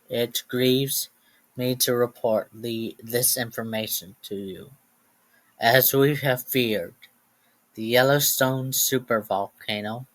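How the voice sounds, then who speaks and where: Guy playing a somber president doing an emergency address, super slow, formal, bit halting. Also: mid-range voice, a bit bright, low-quality recording. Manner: formal, deliberate, halting with stumbles. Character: grave male US President addressing the nation.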